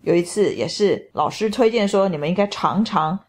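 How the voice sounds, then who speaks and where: A middle-aged woman, describing food and sights in a measured, enthusiastic travel voice-over. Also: on the low side, expressive. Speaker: travel vlog co-host. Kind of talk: casual travel commentary voice-over.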